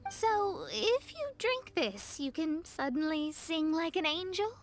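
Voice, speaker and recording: Casting: proud young astrologer, prim and easily flustered, very high animated voice, anime-style game. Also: female voice, takes it slowly, faint music behind, exaggerated voice.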